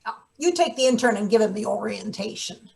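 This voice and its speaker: A woman's voice: medium pitch, expressive, brisk, vocal fry, darkish tone. A woman acting a wry, bossy, scheming maintenance worker in a theatre table read, with a dry, sardonic manner. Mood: smug, sardonic.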